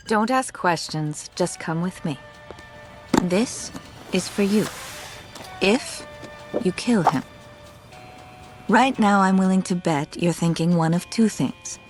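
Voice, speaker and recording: A cool, seductive criminal schemer in a game cutscene. Her voice is low and condescending, with music underneath. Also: slow, long pauses. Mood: teasing, calculating. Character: cool, seductive, amoral female crime employer. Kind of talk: video game cutscene acting.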